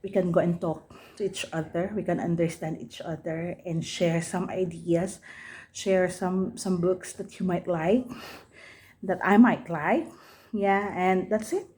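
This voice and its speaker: A woman's voice: on the low side, steady tone, unhurried, a little mellow. A woman with a Filipino accent, a native Tagalog speaker, casually reviewing a book in a vlog. She switches between English and Tagalog, laughs easily, repeats phrases and hunts for words. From Philippines. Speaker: book vlogger.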